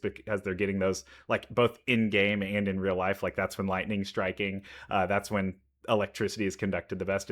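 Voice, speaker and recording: Bright-voiced man reacting casually. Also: lowish voice. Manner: thinks aloud, analytical asides. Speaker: reaction video host.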